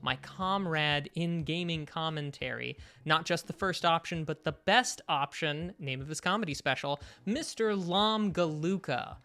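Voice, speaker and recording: A man's voice: a little high, unhurried, few pauses, sharp tone, clear, resonant tone. A man hosting a gaming podcast, opening with showy, playful announcer flair and easy banter. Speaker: podcast host.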